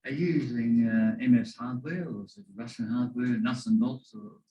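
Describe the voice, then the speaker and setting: An Australian man asking an aviation guest technical questions, soft-spoken and hesitant with many "uh" and "um". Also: medium pitch, normal speed, dull audio. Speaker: audience questioner.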